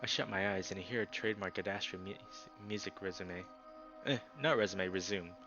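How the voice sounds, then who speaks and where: A man narrating a visual novel aloud as a streamer, with informal, expressive asides. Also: low voice, brisk, lo-fi sound, soft background music.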